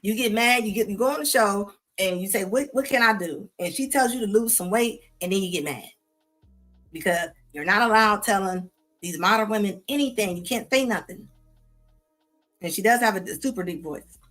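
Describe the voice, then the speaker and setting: A woman's voice: mid-range voice, moderate pace, a bit bright, faint music behind. A woman hosting a live show, reacting to clips in a sassy, scolding tone with casual slang, often repeating herself. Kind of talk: live commentary on videos.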